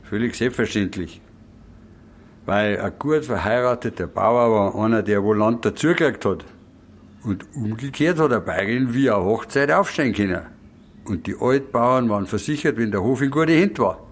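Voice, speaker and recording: A man's voice: lowish voice, moderate pace, slight background noise, lightly acted. An actor playing an opinionated, matter-of-fact village man explaining old customs in a radio drama, with a Bavarian accent.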